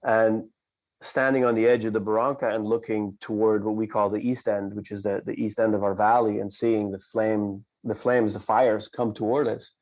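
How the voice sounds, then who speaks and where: A man from the Netherlands, a company cofounder, telling a story in a measured, reflective way and slowing down for emphasis. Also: fairly low pitch, level tone, a little mellow, poor audio. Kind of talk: conversational storytelling.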